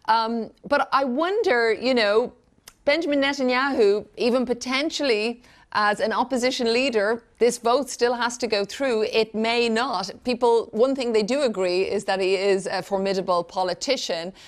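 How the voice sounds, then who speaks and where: A woman's voice: fairly high pitch, normal speed. A woman with an Irish accent, a news presenter who asks a guest polite, probing questions in a broadcast interview.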